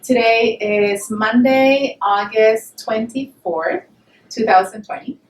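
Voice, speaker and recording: A woman's voice: on the high side, slow. A woman interviewer asks polite, short questions in a slightly raised voice. The room around her sounds echoey. A faint hiss runs underneath. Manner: polite, brief prompts and back-channel murmurs. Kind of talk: oral history interview.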